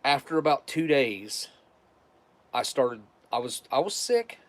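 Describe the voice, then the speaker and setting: A man's voice: mid-range voice, very animated, measured pace. A man with a stuffed-up, nasal voice, talking casually and rambling on a livestream as its host. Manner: rambling, restarts often, conversational.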